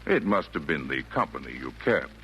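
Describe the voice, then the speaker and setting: A man's voice: very deep voice, big pitch swings, moderate pace, a bit bright, heavily acted. An actor in a 1940s radio play voicing a kindly, jolly old man with genial, lilting, theatrical delivery of rhymed verse. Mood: kindly, reassuring. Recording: noisy vintage 1940s radio broadcast.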